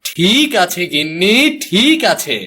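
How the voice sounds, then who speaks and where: Man as high-pitched, cheerful village fisherman. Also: sing-song, slow, bright, forceful tone, exaggerated voice. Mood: cheerful, reassuring. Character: poor, good-hearted cheerful village fisherman husband. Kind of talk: Bengali cartoon folk-tale dubbing.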